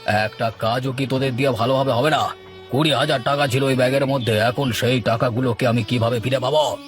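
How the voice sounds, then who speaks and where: A man's voice: mid-range voice, fairly even intonation, a little fast, faint music behind, lightly acted. A man voicing a stern, quarrelsome village master and husband, indignant and bossy, in a cartoon. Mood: angry. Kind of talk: Bengali cartoon dialogue.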